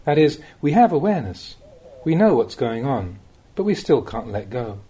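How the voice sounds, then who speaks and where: A man reading a Buddhist teaching aloud as an audiobook, as a monk would, with measured and expressive phrasing. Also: medium pitch, slightly rough. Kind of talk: read-aloud Dhamma talk, audiobook narration.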